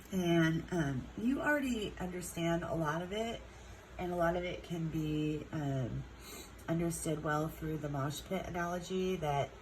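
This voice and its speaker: Stuffy-nosed woman teacher, low voice, talks real slow w lots of ums. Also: a little hiss or hum, low-quality recording. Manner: unhurried, many ums, drawn-out words. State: stuffed-up nose, congested. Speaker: chemistry teacher. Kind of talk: recorded classroom lesson.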